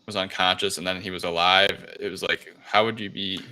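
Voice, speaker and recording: A man's voice: low voice, steady tone, a little fast, bright, forceful tone, poor audio. A man co-hosting a podcast, recapping a movie in casual chat. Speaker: podcast co-host.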